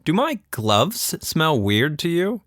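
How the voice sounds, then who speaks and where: A man putting on a goofy high-pitched little girl. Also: wildly swooping pitch, slow, fairly bright tone, no room sound, clean studio sound, full character voice. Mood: playful, eager. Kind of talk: children's story character voice.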